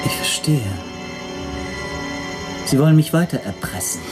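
A man's voice: a little high, animated, a little slow, music in the background. An actor playing a wary, indignant man pressed into helping the police in a radio drama, bitter and questioning. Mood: resentful, resigned.

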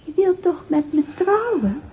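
A young village sweetheart gently nags her man in a radio play. Her voice is very high and her delivery is unhurried. The sound is thin and tinny, like a phone line. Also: sing-song, slight background noise, exaggerated voice. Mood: coaxing. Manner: gently nagging. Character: young village woman in a dream, coaxing.

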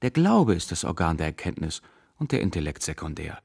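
An actor playing a severe, dogmatic intellectual zealot, a professor who lectures in cutting absolutes, in a radio drama. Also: male voice, deep voice, very animated, a little fast, vocal fry, a little mellow, husky, full character voice. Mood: stern, dismissive. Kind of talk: German radio drama acting.

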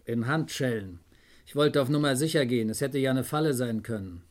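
An actor playing a cheeky, eager junior detective in a German crime radio play, with a jaunty, wry way of reporting. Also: male voice, medium pitch, brisk, lightly acted. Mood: wry, pleased. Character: cheeky, eager male police detective, the chief's subordinate.

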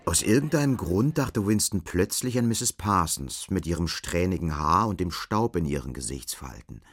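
Low-voiced male radio drama narrator. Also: expressive, moderate pace, husky.

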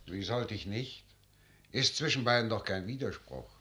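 Low, crisp, haughty court envoy. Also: male voice, lively intonation, normal speed, bright, forceful tone. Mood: coolly indignant. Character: dignified, cool imperial court envoy. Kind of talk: 1950s German radio drama verse acting.

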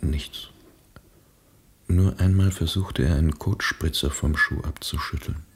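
Very deep, gravelly, somber revolutionary. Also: male voice, fairly even intonation, a little slow, full character voice. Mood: somber, subdued. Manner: terse, subdued, grave. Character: somber, reluctant male revolutionary, grim and restrained. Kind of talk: radio play acting.

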